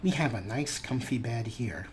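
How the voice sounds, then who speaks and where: A man's voice: mid-range voice, moderate pace, faint music behind. A man hosting a let's play, narrating with dry, dramatic storytelling and talking about his character in the third person. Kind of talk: wry narrated gameplay commentary.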